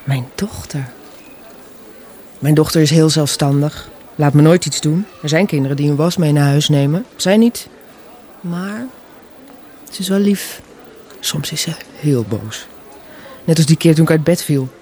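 A woman playing a wistful, gently stubborn mother in her fifties in a Dutch radio drama, with a husky voice. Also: low voice, unhurried, long pauses. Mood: subdued, wistful. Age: middle-aged. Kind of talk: Dutch radio play dialogue, naturalistic.

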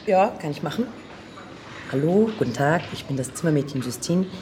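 A woman's voice: sits low, animated, fairly quick, dark tone, slight background noise. A woman playing a chatty but discreet hotel chambermaid in an audio play. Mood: brisk, obliging.

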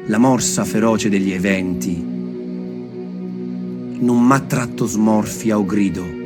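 A man telling stories and reading texts aloud as a theatre performer, expressive and deliberate in his delivery. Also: lowish voice. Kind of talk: storytelling with dramatic readings. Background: music.

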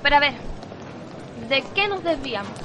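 A woman's voice: high-pitched, sing-song, moderate pace, bright, forceful tone, heavily acted. A woman playing a young, patient but exasperated head of a school house in an amateur radio play. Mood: puzzled. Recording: clearly noisy home recording.

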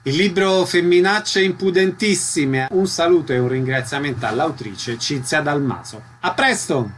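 A man's voice: fairly high pitch, normal speed, slight background noise. A man presenting a radio show as host in a scripted announcing style.

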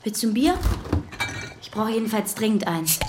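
A woman's voice: fairly high pitch, animated, moderate pace, noisy background, natural voice. An actress playing a guarded, defiant young woman, terse and evasive, in a naturalistic radio drama. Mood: brittle.

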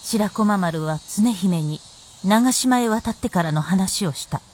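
A woman's voice: mid-range voice, animated, slow, a little mellow, slight background noise. A woman reading scripted narration for a Japanese radio drama.

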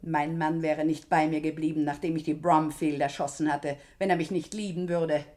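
An actress playing a cold, jealous, defiant wife turned killer in a German radio crime drama, haughty and theatrical. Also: female voice, low voice, little pitch movement, normal speed, a little mellow, natural voice. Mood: bitter, defiant.

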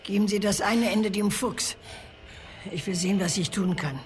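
A woman's voice: medium pitch, normal speed, soft background music, lightly acted. An actress playing a blunt, scolding, secretive old woman in a radio drama. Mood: brisk. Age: older. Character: gruff, secretive old woman living in a cave. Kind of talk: German radio drama acting.

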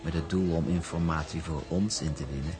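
A man's voice: a bass voice, steady tone, moderate pace. An actor playing a scheming, indulgent businessman in a radio drama, cajoling and condescending. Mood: teasing, indulgent. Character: scheming, indulgent older businessman doting on his sweetheart. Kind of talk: Dutch radio drama acting. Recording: music behind the voice.

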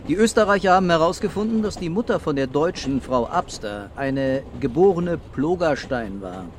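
An actor playing a brooding, terse police commissioner in a German radio crime drama. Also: male voice, fairly high pitch, animated, normal speed, slight background noise, natural voice. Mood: brisk, urgent. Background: music and vehicle ambience.

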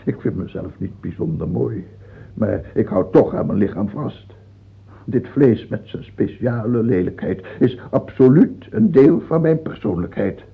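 A fearful, doubt-ridden king of France near death in a radio play. His male voice is badly husky and swings widely in pitch as he halts, stammers and commands. The recording is tinny, like an old broadcast through a narrow band. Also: animated, heavily acted. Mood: agitated, doubting. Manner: halting and stammering, turning imperious. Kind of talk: radio drama acting.

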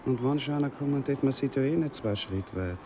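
An actor playing a cocky, lusty young soldier in a radio play, speaking offhand Viennese dialect. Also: male voice, medium pitch, brisk, mellow, slight background noise, lightly acted. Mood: persuasive. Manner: colloquial, offhand dialect speech. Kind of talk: 1960s German radio play acting. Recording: 1960s radio drama with music behind.

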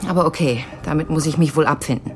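A woman's voice: sits low, fast, a little mellow, natural voice. An actress playing an earnest, insistent woman seeking answers about a relative in a naturalistic radio drama. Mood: troubled, insistent.

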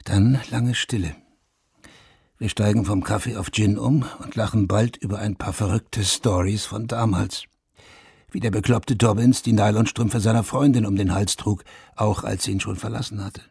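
Low, creaky haunted veteran storyteller. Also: male voice, steady tone, moderate pace, a little mellow, no echo, full character voice. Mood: reflective, haunted. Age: middle-aged. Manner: intimate, reflective storytelling. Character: reflective Vietnam veteran turned writer, haunted, in his forties. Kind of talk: German radio drama first-person narration. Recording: studio radio drama over guitar music.

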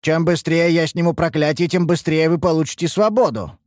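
A man's voice: fairly high pitch, fairly even intonation, brisk, dry recording, clean studio sound, voice pushed up, lightly acted. A man voicing a sardonic, bossy mage master with a mocking, persuasive manner in a fantasy audiobook. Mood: commanding.

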